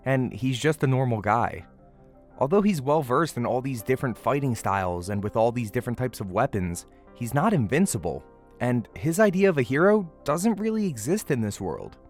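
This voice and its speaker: A man hosts a casual, scripted review with music playing behind him. His mid-pitched voice moves up and down in lively fashion. The delivery is conversational and emphatic, with a playful edge. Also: normal speed. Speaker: host, anime reviewer. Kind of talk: scripted video essay narration, casual first-impressions review.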